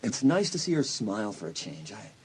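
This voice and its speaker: An actor playing a concerned, reassuring school counselor in an audio drama, speaking earnestly and soothingly with some hesitation. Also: male voice, lowish voice, sing-song, a little fast, a little hiss or hum.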